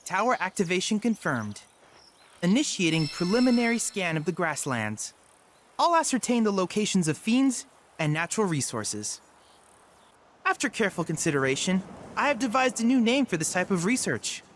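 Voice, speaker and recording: A man's voice: sits high, big pitch swings, normal speed, a little hiss or hum. An actor voicing an eager young boy researcher in a video game, with formal, precise, enthusiastic diction. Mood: earnest, businesslike.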